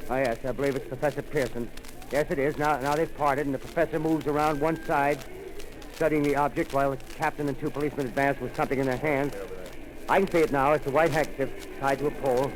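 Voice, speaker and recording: An actor playing an adult male radio field reporter who describes a horror as it unfolds, halting and stammering in 1930s radio drama style, on a noisy old broadcast. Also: mid-range voice, fairly even intonation, lightly acted. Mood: tense. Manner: halting, stammering, increasingly panicked.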